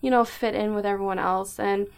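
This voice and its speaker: A young woman from Canada with a gravelly, fry-tinged voice. She chats casually and reflectively on a podcast, telling stories about growing up. Her pitch sits in a middling range. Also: fairly even intonation, fairly quick. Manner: reflective, chatty storytelling. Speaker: podcast co-host. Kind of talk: casual chat.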